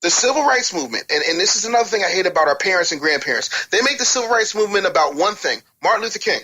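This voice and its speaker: A man's voice: high voice, expressive, rapid-fire, pushed and bright, breathy edge, rough recording. A man speaking bluntly and emphatically in an opinionated podcast discussion, repeating phrases to drive points home.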